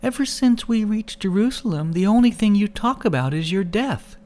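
A man voicing an anguished young woman, pleading and defiant, in a strained high voice in an audiobook. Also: animated, moderate pace, a little mellow.

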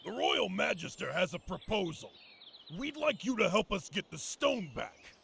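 A man voicing an animal army general in a video game, formal and emphatic, with a gravelly voice. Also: sits high, big pitch swings, moderate pace, a bit bright, slight background noise, full character voice. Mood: formal, earnest. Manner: formal, emphatic military briefing.

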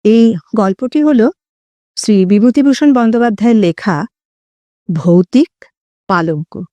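A woman narrating a literary story in a dramatic, expressive way with deliberate phrasing. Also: fairly high pitch, no echo. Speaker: audio story narrator and host.

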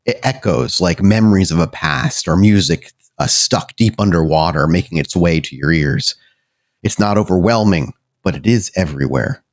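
A man's voice: deep voice, normal speed. A man narrating a tabletop game as game master, descriptive and conversational, improvising as he goes.

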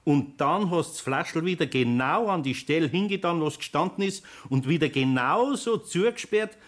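A man's voice: on the high side, lively intonation, moderate pace, close to an everyday voice. An actor playing a grumbling, fatherly older craftsman with a Bavarian accent in a 1960s radio play, chiding in a folksy way. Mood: knowing, teasing.

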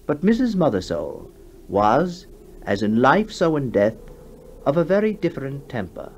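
A man narrating a ghost story for 1960s radio in a measured storytelling manner with deliberate pauses. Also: medium pitch, sing-song, a little hiss or hum. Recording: 1960s radio broadcast with music behind.

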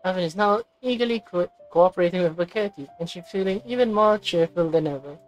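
Casual female playthrough voice, lowish pitch, leisurely delivery, reading and commenting over game music. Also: measured pace, poor audio. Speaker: gamer reading on-screen text and commenting.

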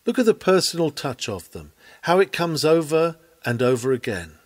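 A man reading a written sermon aloud in an earnest, oratorical manner with measured phrasing. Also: fairly high pitch, very animated. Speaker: sermon reader.

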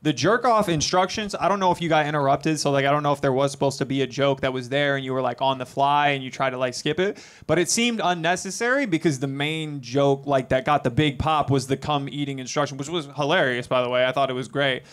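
A man's voice: mid-range voice, quick pace, hardly any pauses, a bit bright. A young man co-hosting a comedy show, giving rambling, laid-back feedback into a handheld mic in a club. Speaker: judge and co-host. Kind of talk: casual feedback.

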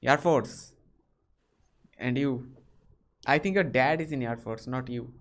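A man's voice: medium pitch, takes it slowly. Inquisitive young Indian man. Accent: Indian English. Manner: inquisitive, asks many short questions. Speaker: video chat host. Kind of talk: casual chat with strangers. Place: small bedroom.

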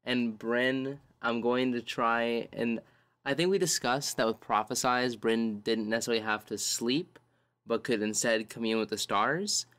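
A man playing a tabletop role-playing game, describing his character's actions in a measured, thoughtful way. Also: medium pitch, fairly bright tone, clear, resonant tone. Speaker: tabletop role-play player.

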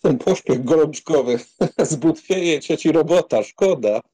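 A man's voice: on the high side, expressive, a little slow, warm tone, breathy edge. A man playing a flippant, teasing young aristocratic count in an audiobook drama, mocking and amused. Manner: mocking, amused, mock-solemn.

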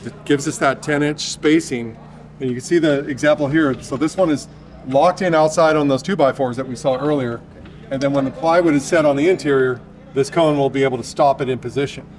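A man in his fifties with a Northern Californian accent, a builder calmly explaining technical details outdoors at a construction site. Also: mid-range voice, brisk. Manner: steady, technical, explaining step by step. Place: outdoor construction site by a basement form wall.

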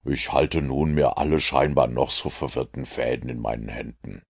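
A man voicing a keen, self-assured detective who explains his conclusions in a measured, commanding way. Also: a bass voice, vocal fry, pitched below the performer's own voice, full character voice. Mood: triumphant.

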